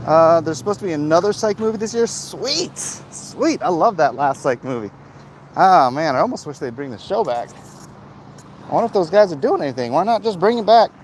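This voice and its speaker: A man talking casually off the cuff in a rambling, opinionated, halting way, like a livestream host commenting and answering chat. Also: a little high, expressive. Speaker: host of a livestream vlog, comic creator. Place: outdoors, walking in an urban area. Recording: clear outdoor traffic and street noise.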